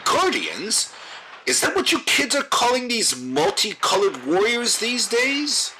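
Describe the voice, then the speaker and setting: A man's voice: very high-pitched, wildly swooping pitch, unhurried, bright, forceful tone, soft background music, heavily acted. A man playing a melodramatic local TV news anchor in a broadcast parody. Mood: newsy, dramatic.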